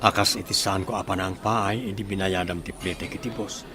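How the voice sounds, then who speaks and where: A man's voice: sits low, steady tone, fairly quick, lightly acted. A man with a Filipino accent playing an earnest, courteous young suitor in a radio drama. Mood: earnest, grateful.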